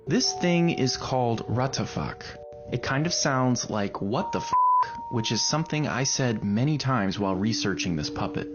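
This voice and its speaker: A man's voice: medium pitch, lively intonation, moderate pace, highs cut off, music in the background. A man reading scripted narration for a video.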